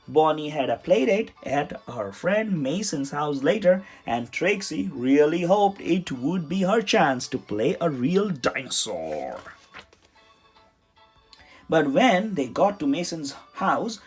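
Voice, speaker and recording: A storybook narrator reading aloud for children. The voice sits in a middle pitch with a slightly husky texture, and music plays clearly behind it. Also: a little mellow. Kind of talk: read-aloud scripted narration. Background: music under the voice.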